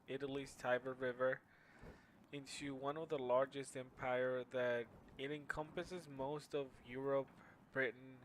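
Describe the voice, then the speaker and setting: A young man whose first language is Spanish, giving a class presentation in a halting way with many fillers and restarts. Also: mid-range voice, fairly bright tone, a little hiss or hum, low-quality recording. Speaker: student presenter.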